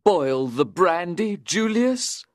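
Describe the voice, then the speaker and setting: A man voicing a rude, demanding young drunk in an audiobook, with slurred, drawn-out shouting. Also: high voice, sing-song, dry recording, studio quality, voice pushed up, exaggerated voice. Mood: drunk, insistent. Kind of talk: audiobook character voice.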